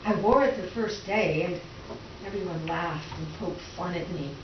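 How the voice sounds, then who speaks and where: Dull echoey recording, woman performing tender young farm girl, slightly low voice, lyrical readers theater delivery. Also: moderate pace, natural voice. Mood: hurt. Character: young farm schoolgirl, tender, earnest and hopeful. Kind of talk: readers theater dramatic monologue. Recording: echoey room, distant mic, dull, faint noise.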